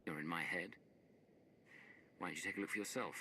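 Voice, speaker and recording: An actor playing an earnest, resolute heroic commander in a video game. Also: male voice, sits low, brisk, bright, forceful tone. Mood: guarded. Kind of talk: dramatic game cutscene acting.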